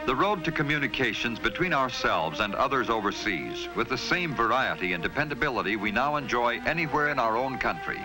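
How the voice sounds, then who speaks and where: A man with an American accent narrates like a 1960 industrial newsreel. His pitch is average for a man, and clear music plays behind him on an old film soundtrack. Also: animated, fairly quick, hardly any pauses, low-quality recording. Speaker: documentary narrator.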